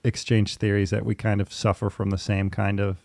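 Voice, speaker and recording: A man talks casually in a fairly low voice full of fry. He is candid and quick to laugh, like a host chatting with someone he knows. The sound is very dry. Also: little pitch movement, darkish tone, no room sound. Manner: laughs easily while talking, candid. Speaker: podcast host and therapy client. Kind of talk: casual conversational chat.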